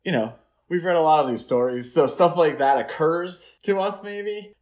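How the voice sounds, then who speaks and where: A man's voice: a little high, normal speed, a little mellow. A man co-hosting a podcast, giving his opinions on a film in loose, casual conversation. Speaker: podcast host.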